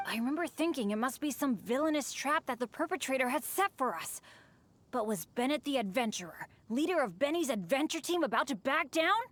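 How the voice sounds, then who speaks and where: A woman voicing an earnest, unlucky but upbeat boy adventurer in an animated English game dub. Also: high-pitched, brisk. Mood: eager storytelling. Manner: excitable, boasting, eager.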